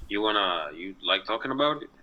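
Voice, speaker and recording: A man's voice: fairly low pitch, animated, brisk, highs cut off. A man from Egypt in a casual online chat, earnest and rambling, sometimes searching for words. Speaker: chat partner.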